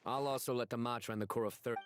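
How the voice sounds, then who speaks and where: A man voicing a stern, decisive investigator in a video game, serious and measured. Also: medium pitch, steady tone, slight background noise, lightly acted. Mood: resolute. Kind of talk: video game voice acting, dramatic dialogue.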